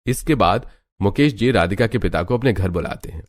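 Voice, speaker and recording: A man's voice: on the low side, expressive, moderate pace. A man narrating a scripted moral story as a storyteller.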